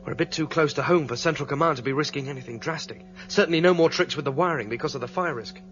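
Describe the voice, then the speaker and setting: Radio drama: actor as guarded fugitive planner, fast-spoken male, reasoning plainly, muffled sound with some noise. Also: on the high side, a bit bright, faint music behind, lightly acted. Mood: determined. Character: calm, determined fugitive leader explaining the plan. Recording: dull radio drama recording, faint hiss.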